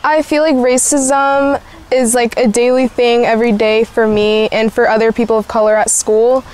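A woman's voice: a little high, level tone, normal speed, a little mellow, low-quality recording. A woman speaking earnestly in an interview.

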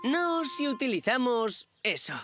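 A woman plays a mischievous young boy in a cartoon, cocky and cajoling, with a Castilian accent. The voice is high and bouncy in pitch, with music behind it. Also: big pitch swings, unhurried. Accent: Castilian Spanish. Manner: cajoling, cheeky. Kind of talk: animated children's series dubbing. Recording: background music under the voice.

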